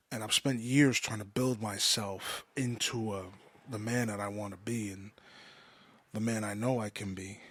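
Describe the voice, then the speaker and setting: A man in his mid twenties giving a personal podcast monologue, halting and often restating himself for emphasis. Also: on the low side, a bit bright, husky, no echo. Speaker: podcast host. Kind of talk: personal monologue, confessional storytelling.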